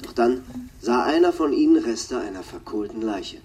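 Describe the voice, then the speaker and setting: An actor playing a slimy tabloid TV reporter, delivering a florid, pompous news report in a radio drama. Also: male voice, mid-range voice, lively intonation, normal speed, mellow, a little hiss or hum, natural voice.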